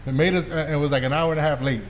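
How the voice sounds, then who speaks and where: A man's voice: fairly high pitch, fairly even intonation, rapid-fire, a little hiss or hum. A man hosting a casual podcast, talking in a streetwise, anecdotal way with some mild swearing. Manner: streetwise, mildly profane, anecdotal. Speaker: podcast host.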